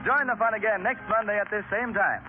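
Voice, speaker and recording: Tinny high-pitched 1930s radio announcer, a man. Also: fairly quick. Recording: tinny 1930s radio broadcast over loud music.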